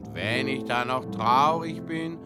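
A man's voice: mid-range voice, expressive, a little slow, close to an everyday voice. A man playing a tipsy, stubborn fellow singing a drinking song in an audio musical, with music behind. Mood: merry, stubborn.

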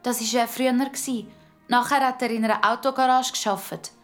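Woman as young Swiss German girl for children's audio story: high voice, earnest, defensive, emphatic. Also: steady tone, fairly quick, a bit bright, soft background music, natural voice. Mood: matter-of-fact.

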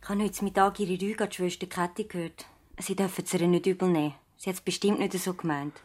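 An actress playing an earnest, thoughtful young practice assistant and loyal niece in a radio play, with a Swiss German accent. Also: female voice, lowish voice, fairly even intonation, quick pace, darkish tone, soft background music, lightly acted. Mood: worried, hushed. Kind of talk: radio play acting, conversational dialogue.

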